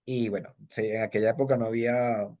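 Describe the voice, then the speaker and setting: A middle-aged Venezuelan man speaking Spanish with a Venezuelan accent, an interviewee recalling his past at a brisk clip. He rambles, circling back with fillers and restarts as he goes. The audio is dull and lo-fi, as if from a video call. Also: mid-range voice, fairly even intonation, a little mellow. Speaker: kung fu master and judge, interviewee. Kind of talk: casual interview storytelling.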